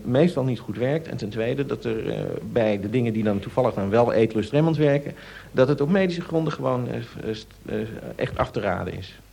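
A man's voice: mid-range voice, brisk, vocal fry, few pauses, dark tone. Hesitant man explaining things informally. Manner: hesitant, searching for words, explanatory. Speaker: nutrition expert answering audience questions. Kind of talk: informal spoken advice in a radio discussion.

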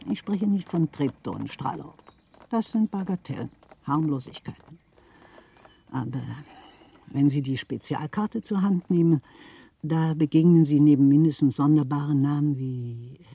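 An actress playing a worldly, gossipy middle-aged widow of a Berlin official in a German radio play, theatrical, insinuating and chatty. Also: female voice, deep voice, gravelly, mellow, breathy edge, heavily acted. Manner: theatrical, insinuating, chatty, repeats words for emphasis.

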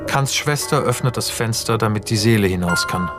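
A man narrating screenplay directions in a radio drama, in a measured, matter-of-fact way. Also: fairly low pitch, over music, lightly acted. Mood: measured, sober. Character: sober narrator reading out screenplay scene directions.

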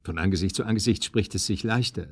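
A man's voice: deep voice, fast talker, breathy edge, no echo. An actor playing an eager, flirtatious man courting a blind date in a radio drama, courteous and a little hesitant. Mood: flirtatious, coaxing. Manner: hesitant, chuckling, courteous, coaxing. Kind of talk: naturalistic radio drama acting, phone conversation.

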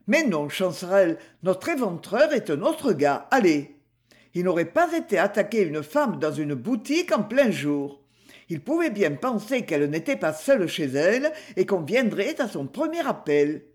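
A man voicing a cool police detective who questions and reasons in calm, clipped phrases. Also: sits high, normal speed. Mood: reasoning.

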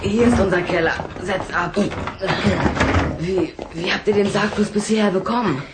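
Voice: mid-range voice, moderate pace, very noisy, close to an everyday voice. An actor playing a timid, polite schoolboy in a radio play, hesitant and stammering as he makes excuses. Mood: nervous. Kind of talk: German radio play acting.

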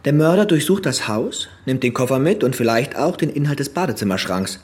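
A man's voice: medium pitch, lively intonation, a little fast, darkish tone, lightly acted. Methodical male police investigator played by an actor. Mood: factual, measured. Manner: orderly, factual reporting. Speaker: actor in audio crime drama. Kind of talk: German radio crime drama acting.

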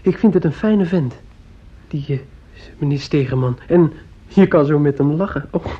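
A performer in a radio play as a sick fifteen-year-old boy, husky, polite, hesitant and eagerly admiring. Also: medium pitch, normal speed, close to an everyday voice. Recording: dull, lo-fi old radio recording.

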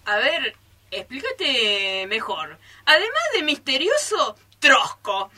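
Woman acting an impatient, high-voiced Argentine friend. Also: very animated, takes it slowly, sharp tone, heavily acted. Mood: mocking. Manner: insistent, needling, exclamatory. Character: impatient, mocking woman nagging a secretive friend. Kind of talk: amateur radio theatre acting.

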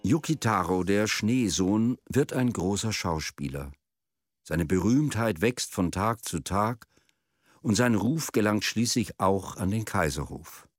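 A man narrating a radio drama in a measured, solemn, literary storytelling manner. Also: lowish voice, expressive, dry recording, clean studio sound. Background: music.

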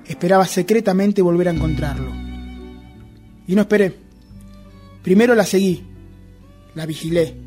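A man with an Argentine accent acting a brooding, obsessively jealous narrator in a radio drama, speaking deliberately and introspectively. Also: high-pitched, warm tone, lightly acted. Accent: Rioplatense Argentine Spanish. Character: obsessive jealous man confessing his secret pursuit of a rival.

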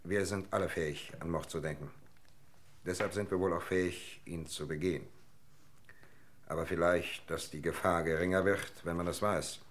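An actor playing an earnest, weary gentleman in a radio crime drama, speaking in a formal, grave manner. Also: low voice, fairly even intonation, moderate pace, close to an everyday voice. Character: earnest, weary, polite gentleman questioned by police.

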